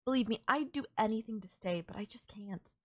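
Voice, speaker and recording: Woman doing an alien girlfriend for a romance audio rp, gentle n heartfelt, super dry close mic. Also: medium pitch, fairly quick, dry recording, lightly acted. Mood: sad, resigned. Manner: soft-hearted, reassuring, gently teasing, addressing a lover. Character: tender alien girlfriend saying a sad goodbye. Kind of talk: intimate romantic audio roleplay, ASMR-like.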